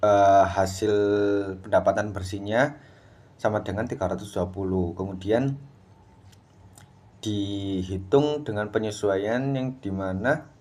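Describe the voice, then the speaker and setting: A man's voice: lowish voice, measured pace, long pauses, a little mellow. A young adult man, explaining worked material as a student in a class presentation. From Indonesia.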